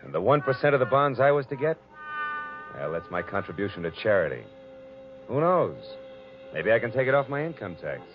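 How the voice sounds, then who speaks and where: An actor playing a tough, wisecracking American private detective in 1940s radio style. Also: male voice, medium pitch, sing-song, brisk, pauses often, lightly acted. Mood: wry, cynical. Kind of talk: 1940s radio detective acting, hardboiled narration. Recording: dull vintage radio recording, music behind.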